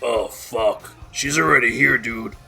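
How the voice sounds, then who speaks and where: A man playing a drunk, jovial party host in an audio drama, with gravelly slurred bro talk and mock-grand flourishes. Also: medium pitch, a little slow, music in the background. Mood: friendly, concerned.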